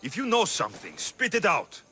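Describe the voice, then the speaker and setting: An actor playing a rugged, confident mercenary in a video game, blunt and wry. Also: male voice, sits high, wildly swooping pitch, normal speed, sharp tone, a little hiss or hum, exaggerated voice. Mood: irritated. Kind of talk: video game cutscene acting.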